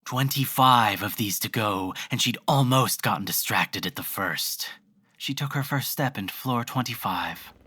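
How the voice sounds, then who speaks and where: A narrator reading scripted horror fiction in a measured, literary storytelling manner. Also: medium pitch, bright, forceful tone, husky, no echo, studio quality. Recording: faint noise and ambience behind voice.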